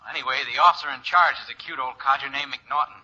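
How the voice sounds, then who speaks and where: An actor playing an affable, glib American detective with an easygoing, wry manner in old radio drama style. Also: male voice, medium pitch, steady tone, quick pace, sharp tone, breathy edge. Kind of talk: 1940s-50s radio acting. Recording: dull old radio recording.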